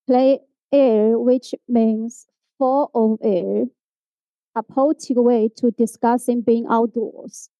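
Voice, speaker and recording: A woman with a Chinese accent giving a prepared conference presentation in a slightly halting second language. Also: fairly high pitch, steady tone, warm tone, no room sound, dull audio. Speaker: graphic designer giving a presentation.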